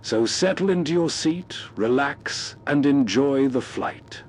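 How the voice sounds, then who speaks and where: A man hosting with scripted, measured, scene-setting narration. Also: expressive, a little mellow. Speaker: host. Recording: faint rumbling background noise.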